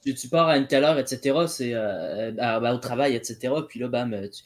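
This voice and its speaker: A man's voice: medium pitch, brisk. A man in his early twenties with a Quebec French accent, speaking tentatively with many asides in a casual online debate. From Quebec, Canada. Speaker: debate participant.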